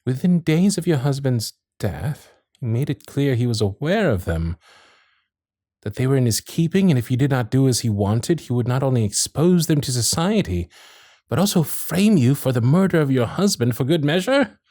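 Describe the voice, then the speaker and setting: Man acting a husky, courteous Victorian gentleman suitor. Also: mid-range voice, very animated, dry recording, studio quality. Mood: probing, surprised. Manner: formal, old-fashioned, measured phrasing with dramatic pauses. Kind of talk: romantic period ASMR roleplay, intimate one-sided dialogue.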